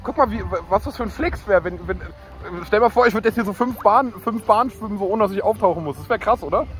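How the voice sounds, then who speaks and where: A man, chatting casually and excitably in slang to a phone camera as a vlogger. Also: high voice, fast talker. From Berlin, Germany. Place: outdoors on holiday, by beach, pool and roads. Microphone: phone at arm's length. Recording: noisy outdoor phone recording.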